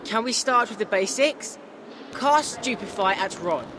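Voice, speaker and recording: A man's voice: high voice, expressive, unhurried, noisy background. An actor playing an enthusiastic British schoolboy duelling captain in a video game, commanding and showman-like. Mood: eager, businesslike. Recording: game audio with loud music behind.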